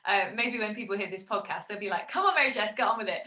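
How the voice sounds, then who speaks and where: A woman's voice: mid-range voice, expressive, fast, pushed and bright, reverberant. A woman from Gloucester with a light Gloucestershire accent, hosting a chatty podcast and laughing often. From Gloucester, England. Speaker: podcast host, singer. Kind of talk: casual interview chat.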